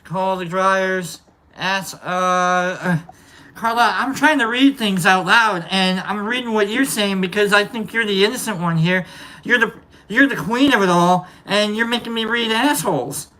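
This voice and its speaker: A live stream host with a nasal, bright, sharp-edged voice talks casually to the chat, rambling and repeating greetings to viewers. The pitch sits mid-range. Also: moderate pace, poor audio. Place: outdoor lounge by a fire pit.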